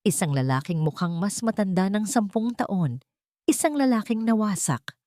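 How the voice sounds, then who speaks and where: A woman narrating a dramatic romance story in a measured, somber reading. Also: medium pitch, animated, a little mellow, no echo, studio quality.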